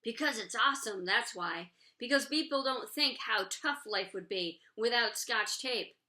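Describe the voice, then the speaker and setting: Woman doing an excitable, bright-voiced schoolboy. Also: a little high, unhurried. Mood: excited. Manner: exuberant, earnest. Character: excitable, quirky schoolboy who loves tape and glue.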